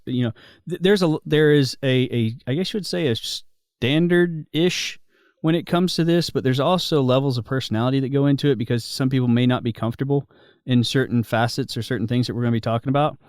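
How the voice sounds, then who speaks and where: A man's voice: mid-range voice, fast talker, darkish tone, clean tone, no echo. An easygoing man with a mid-range voice hosts a podcast. He talks in a friendly way, restarting his phrases as he thinks, recorded close and dry. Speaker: podcast host. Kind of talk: casual podcast chat.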